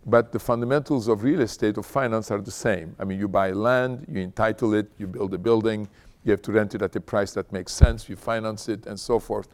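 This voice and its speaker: Low-voiced man giving an informal talk. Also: steady tone, darkish tone. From Istanbul, Turkey. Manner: conversational, halting, repeats "you know". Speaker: company founder, guest speaker. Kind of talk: informal autobiographical talk to an audience.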